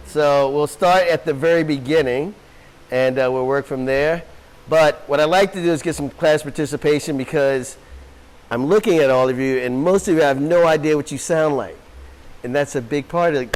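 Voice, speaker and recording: A man's voice: a little high, moderate pace, rough recording. A man teaching an astrology class to a room of students, talking casually with a few fillers. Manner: casual, friendly, loose with fillers. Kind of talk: relaxed classroom talk.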